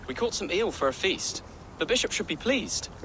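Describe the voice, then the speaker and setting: A man's voice: high voice, sing-song, moderate pace, bright, forceful tone, a little hiss or hum, exaggerated voice, poor audio. An actor playing an earnest, kindly young medieval nobleman in a video game, speaking courteously. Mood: cheerful.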